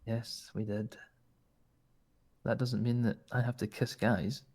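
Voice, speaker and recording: A man's voice: mid-range voice, flat delivery, slow, a little mellow. A man with a Scottish accent talking in character during a roleplay, flirtatious and teasing. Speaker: roleplay player.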